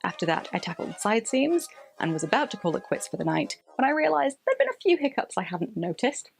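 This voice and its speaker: Expressive woman narrating over music. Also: fairly high pitch, fairly quick, gravelly. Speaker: sewing vlog host. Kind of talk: scripted voice-over narration of a sewing project. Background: background music.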